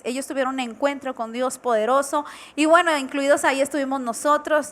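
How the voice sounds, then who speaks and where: A young woman with a bright, high-pitched voice co-hosts a church television program. She welcomes viewers and tells a family story in an earnest, storytelling manner. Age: 20s. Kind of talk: warm testimonial talk on TV.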